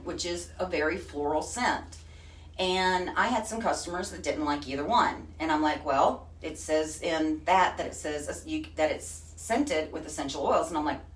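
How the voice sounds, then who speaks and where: A woman from Texas with a Texan accent, hosting a casual live stream in a chatty, folksy way. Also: on the low side. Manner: chatty and folksy, says honey and y'all. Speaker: host. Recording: echoey room, far from the mic.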